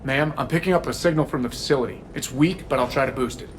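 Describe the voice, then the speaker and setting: A man's voice: medium pitch, fast talker, noisy background, lightly acted. An actor playing a businesslike male military shuttle pilot in a video game. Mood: focused.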